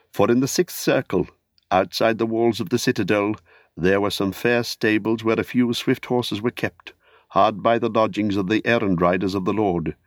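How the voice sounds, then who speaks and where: A man with a fairly low voice narrates a literary audiobook. His delivery is measured and made for storytelling. The sound is dry, with no audible room. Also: dry recording.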